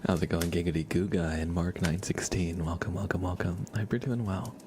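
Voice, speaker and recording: A man livestreaming ASMR, soft-spoken and playful, slipping between a murmur and a whisper as he chats and roleplays. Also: deep voice, steady tone, a little fast. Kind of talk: ASMR live chat with roleplay, partly whispered. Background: scissor sounds.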